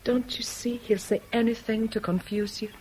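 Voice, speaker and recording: A woman's voice: fairly high pitch, fairly even intonation, normal speed, darkish tone, soft background music, natural voice. A woman playing an enigmatic, proud lover in a radio drama, with a cool, theatrical intensity. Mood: cool, challenging. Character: enigmatic, proud woman caught between two rival men. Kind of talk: 1970s British radio drama acting. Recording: faintly noisy vintage radio broadcast.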